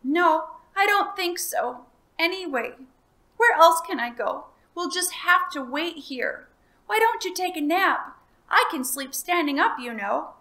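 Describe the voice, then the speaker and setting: A woman doing a weary, gentle dragon. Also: very high voice, animated, measured pace, pitched above the performer's own voice, exaggerated voice. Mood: anxious. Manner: panting, breathless, pleading. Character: tired, gentle young male dragon.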